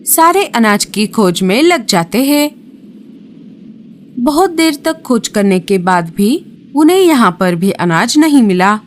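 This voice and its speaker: A woman's voice: a little high, sing-song, takes it slowly, a little hiss or hum. A woman narrating a scripted children's horror story as a storyteller. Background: music.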